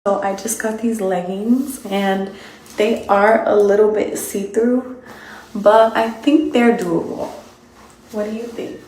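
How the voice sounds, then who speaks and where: A woman's voice: medium pitch, steady tone, slow pace, darkish tone, slightly rough, poor audio. A congested woman from the United States chatting casually on a live stream, rambling with lots of "like" and "um". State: congested, sick with sinus trouble. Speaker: streamer answering chat.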